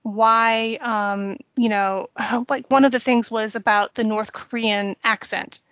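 Higher-voiced woman explaining on a podcast. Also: slow, no echo. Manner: explanatory, steady, with small laughs. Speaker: podcast co-host. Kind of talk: casual chat. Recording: dull, lo-fi dry sound.

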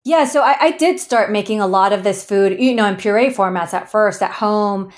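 A woman's voice: medium pitch, normal speed. Earnest woman from Ecuador, laughing often. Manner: earnest, laughs often while telling her story. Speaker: founder guest. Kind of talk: interview conversation.

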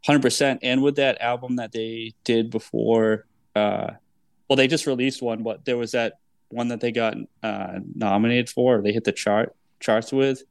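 A man's voice: medium pitch, steady tone, moderate pace, vocal fry, clear, resonant tone, no room sound. A man in his thirties hosting a podcast in a casual, chatty style. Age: 30s. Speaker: podcast host.

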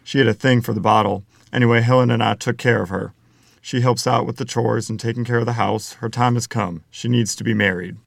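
A man playing a gruff, defensive middle-aged Midwestern father and gambler, with folksy, plainspoken speech in a table-read drama. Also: medium pitch, fairly even intonation, fairly quick, dry recording, studio quality. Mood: defensive, matter-of-fact. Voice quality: gravelly, creaky. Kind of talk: table-read screenplay acting.

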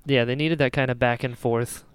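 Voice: medium pitch, steady tone, fairly quick, smooth, no room sound. A podcast host chatting casually about pop culture, animatedly slipping into imagined dialogue.

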